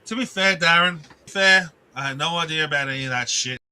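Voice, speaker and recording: Bright-voiced man ranting on a live stream. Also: on the high side, brisk, clear, resonant tone. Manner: rambling, restarts sentences, swears, greets chat.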